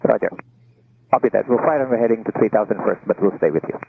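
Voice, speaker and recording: A man, a pilot, giving halting, hesitant readbacks over aviation radio. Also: fairly low pitch, creaky, husky, dry recording. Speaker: airline pilot. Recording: tinny narrowband cockpit radio transmission.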